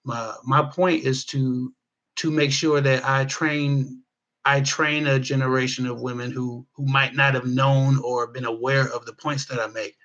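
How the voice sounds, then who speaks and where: A man's voice: medium pitch, level tone, unhurried, fairly bright tone, highs cut off. An American man from the Midwest giving casual, unscripted advice to viewers in an emphatic, rambling way, repeating words to drive his points home. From Midwestern US (Ohio). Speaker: relationship advice vlogger.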